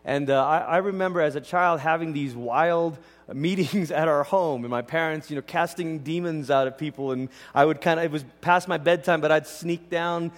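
Male pastor, from Malaysia, high voice, conversational teaching sermon, big reverberant hall. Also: normal speed. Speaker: pastor preaching. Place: large indoor church hall.

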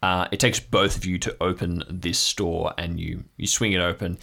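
A man running an improvised tabletop adventure as game master, setting scenes in a measured narrating manner. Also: sits low, fairly even intonation, gravelly, pushed and bright.

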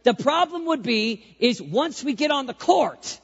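Squeaky, very high-voiced male youth pastor. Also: big pitch swings, unhurried, vocal fry, fairly bright tone, slightly rough. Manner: emphatic, repeats phrases, leads call-and-response. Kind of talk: energetic youth sermon. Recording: echoey hall, dull lo-fi sound.

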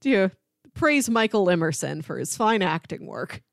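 A woman's voice: mid-range voice, big pitch swings, normal speed, gravelly, no echo, clean studio sound. A woman co-hosting a podcast, giving recommendations in a casual, conversational style. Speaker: podcast co-host.

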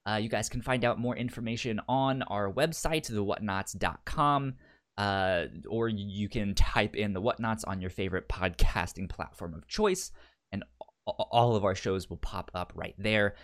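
A man co-hosts a laid-back podcast, talking things over in relaxed chat. He stumbles often, repeating and restarting words as he works out what to say. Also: lowish voice. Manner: halting, many stammered restarts. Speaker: podcast co-host.

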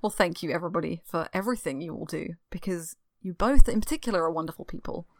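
A woman's voice: fairly low pitch, fast, dry recording, studio quality. A woman from the UK, chatting as a guest on a podcast in a friendly manner. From United Kingdom. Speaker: podcast guest, reviews editor. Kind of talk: casual podcast chat.

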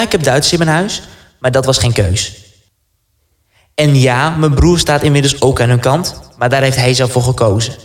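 A man's voice: mid-range voice, no room sound, close to an everyday voice. Man playing anxious, defiant wartime resistance recruit, dry sound. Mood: earnest, defiant. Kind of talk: dramatic first-person radio play monologue.

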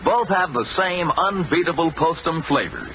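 A man's voice: a little high, expressive, moderate pace, slight background noise. A man announcing and selling on a 1940s radio show, with a polished, friendly sales delivery. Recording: muffled old radio recording.

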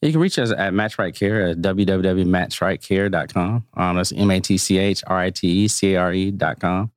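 A man, a startup founder, talking in a relaxed, easygoing way in a podcast interview. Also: low-pitched, normal speed, no room sound, clean studio sound. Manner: relaxed, easygoing, laughs easily.

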